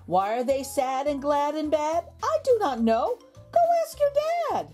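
A woman reading a picture book aloud to young children, with expressive, exaggerated intonation and drawn-out words. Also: high voice. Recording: background music under the voice. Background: music.

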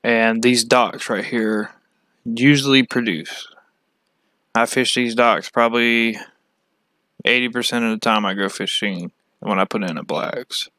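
A man from the United States giving a casual, halting, matter-of-fact walkthrough as a fishing channel host. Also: mid-range voice, steady tone, sharp tone, dry recording. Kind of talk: casual screen-share map walkthrough.

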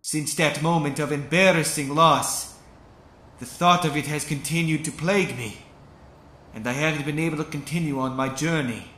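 A man's voice: a little high, moderate pace, roomy sound, a little hiss or hum. A man playing a vengeful ancient tomb keeper in an anime fandub, speaking in a grave, formal, portentous way. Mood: brooding, scheming. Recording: loud music behind the voice.

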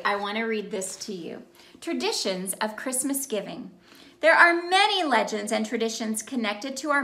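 A woman in her thirties reading a storybook aloud to children in an animated, expressive way with emphatic phrasing. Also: fairly high pitch. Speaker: children's ministry read-aloud host. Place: small indoor room by a Christmas tree. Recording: roomy indoor echo.

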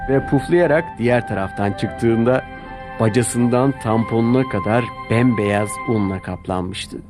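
A male narrator with a medium-pitched voice tells a children's tale. His delivery is scripted and expressive, and music plays clearly behind him. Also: measured pace.

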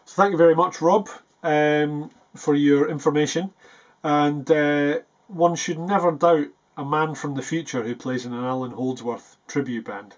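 A man in his forties chatting casually and a little hesitantly to camera as a self-deprecating guitarist, recorded in a small practice room. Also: fairly high pitch. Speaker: guitarist presenting a video. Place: small foam-treated practice room indoors. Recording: dull, muffled sound.

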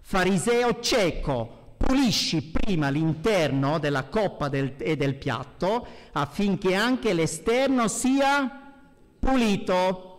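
A middle-aged man preaches in a reverberant church hall. His voice sits fairly high for a man, and he takes his time, delivering each phrase with emphasis as a pastor at the pulpit. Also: lively intonation, slow. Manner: emphatic, swinging between hushed and raised voice. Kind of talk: sermon with scripture readings. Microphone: gooseneck lectern microphone.

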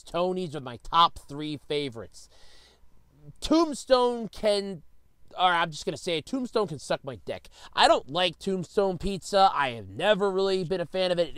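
A man's voice: fairly high pitch, fairly bright tone, clean tone, no echo. A man, slightly stuffy with a cold, delivering an exasperated, emphatic, sweary comedic solo rant as host of his own series.

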